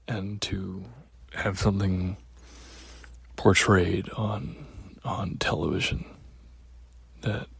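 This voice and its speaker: Deep-voiced guy calling in, super slow and gravelly, halting and careful. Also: dry recording. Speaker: caller. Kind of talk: heartfelt call-in comment.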